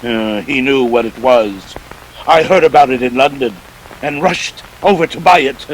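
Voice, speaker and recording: A man's voice: mid-range voice, moderate pace, a little hiss or hum, natural voice, low-quality recording. A man playing a suave, ruthless treasure-hunting villain in a radio play, with pompous, courtly speech and sly chuckles. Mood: smug, eager. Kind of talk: 1940s radio play acting.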